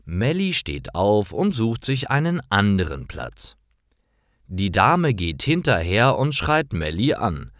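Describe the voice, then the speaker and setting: A man narrating scripted stories for language learners, reading simple sentences clearly. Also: lowish voice, no echo, studio quality.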